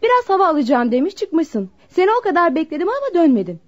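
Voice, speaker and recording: Petulant, lively, high-pitched young girlfriend. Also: fast. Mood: dismissive, teasing. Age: young adult. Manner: coaxing, petulant, dismissive. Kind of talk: Turkish radio theatre acting. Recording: dull lo-fi radio drama recording.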